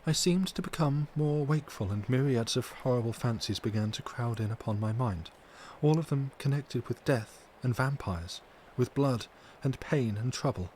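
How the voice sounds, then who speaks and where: A man voicing a distressed but tender and brave young wife, recounting in an earnest, measured, formal way for an audiobook. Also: mid-range voice, natural voice. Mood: troubled recounting. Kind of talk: dramatic audiobook character reading. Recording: steady background noise.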